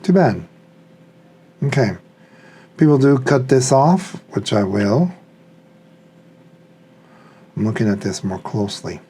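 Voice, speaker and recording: A man's voice: medium pitch, expressive, slow pace, pauses often, warm tone, slightly rough. A man thinking aloud in a casual, unscripted, tentative way as he reviews a product. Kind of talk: casual unscripted unboxing commentary.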